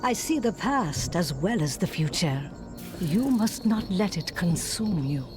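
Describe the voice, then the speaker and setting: A low-voiced, knowing mystic seer. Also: female voice, sing-song, a little slow, music in the background. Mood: insistent, knowing. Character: woman seer, knowing, cryptic, determined. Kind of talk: video game cutscene acting.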